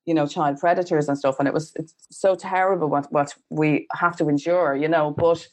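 A woman's voice: sits low, steady tone, moderate pace. A woman from Ireland hosting a podcast, talking in a rambling way with many "you know"s and "like"s. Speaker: podcast host. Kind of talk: podcast conversation.

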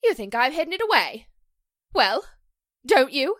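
Lady playing a wounded theater star, highish voice that swoops around, emotional and halting, 40s radio play style. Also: sing-song, fairly bright tone, no echo, clean studio sound, exaggerated voice. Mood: indignant, pleading. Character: distressed stage actress accused of a publicity stunt, pleading, wounded. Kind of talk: 1940s radio drama acting.

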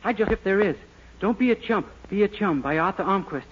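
A man's voice: high-pitched, expressive, brisk, husky. An American man playing a naive, cheerful young small-town clerk in 1940s radio comedy style, with a boyish, chirpy manner. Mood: eager. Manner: boyish, chirpy, self-pleased. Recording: muffled, noisy 1940s radio recording.

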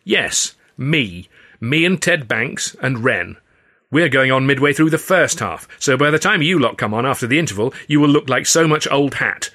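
A man's voice: mid-range voice, lively intonation, moderate pace, bright, forceful tone, pitched above the performer's own voice. A man voicing a malicious rival comedian in an audiobook, smug and taunting. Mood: gleeful gloating.

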